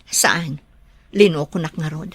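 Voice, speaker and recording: A woman's voice: deep voice, a little slow, husky, no room sound, exaggerated voice. A woman with an Ilocano Filipino accent playing a concerned adult mother in an audio drama. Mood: doubtful. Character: concerned adult mother, Mara, worried about daughter.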